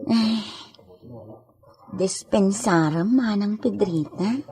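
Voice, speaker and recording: An actress with a Filipino accent playing an earnest, deferential young servant with a husky voice in a radio drama. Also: female voice, mid-range voice, extremely slow, mellow, exaggerated voice. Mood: curious, apologetic.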